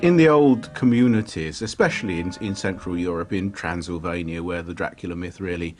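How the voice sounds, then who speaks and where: Earnest, lowish male commentator. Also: brisk, soft background music. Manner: earnest, explanatory, some restarts. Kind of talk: reflective commentary.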